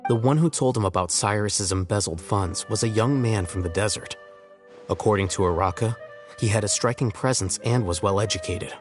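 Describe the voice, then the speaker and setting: Calm researcher voiced by a man, lowish voice, measured, game music behind. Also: lightly acted.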